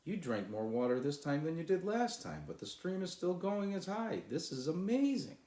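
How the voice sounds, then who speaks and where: A man voicing an excitable, dreamy young boy in a children's storybook, exclaiming eagerly. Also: fairly high pitch, moderate pace, roomy sound, pitched above the performer's own voice. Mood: amazed. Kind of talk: children's storybook read aloud.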